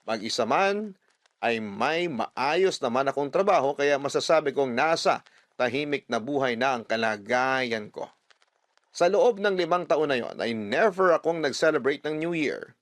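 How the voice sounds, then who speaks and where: A Filipino man with a mid-pitched voice hosts a radio drama, reading letters as dramatic first-person narration. His delivery is earnest and sentimental. The sound is close and dry. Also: lively intonation, normal speed, no room sound.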